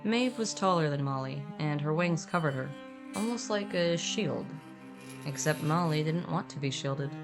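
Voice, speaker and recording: A woman with a low-pitched voice narrates an atmospheric tale as a co-narrator. Her delivery is scripted storytelling, and music plays clearly behind her. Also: expressive, normal speed.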